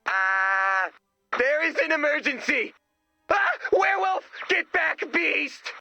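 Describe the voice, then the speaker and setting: High-pitched nervous, goofy sidekick. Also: male voice, very animated, takes it slowly, gravelly, bright, forceful tone, no room sound, faint music behind, heavily acted. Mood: panicked. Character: anxious, excitable, goofy male sidekick. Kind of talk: video game voice acting.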